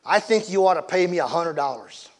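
A man's voice: on the high side, animated, brisk, pitched above the performer's own voice, lightly acted. A man doing a blustering, furious driver. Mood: demanding. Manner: blustering, indignant, threatening. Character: angry loud middle-aged man, hurt driver demanding money.

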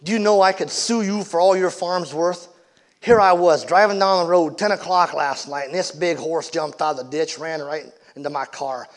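A furious, blustering driver barks threats and demands for money, as a man reading a story puts him on. His voice rides high and loud, pushing every complaint hard. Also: a little fast, close to an everyday voice. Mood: indignant.

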